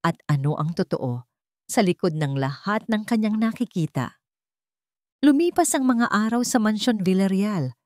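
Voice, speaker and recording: A woman's voice: medium pitch, animated, normal speed, a little mellow, dry recording, clean studio sound. A woman reading a scripted romance story as a dramatic narrator.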